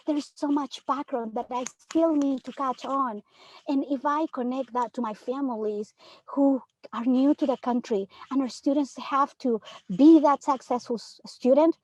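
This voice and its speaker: A woman's voice: high-pitched, darkish tone, dry recording, muffled recording. A woman from Peru, a third grade teacher, speaking earnestly on a panel discussion and often asking "right?". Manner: earnest, emphatic, many rhetorical "right?".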